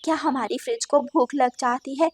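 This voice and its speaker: Woman playing a cartoon mother bird, gentle, homely, soft-spoken, high-pitched. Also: moderate pace, breathy edge. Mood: puzzled.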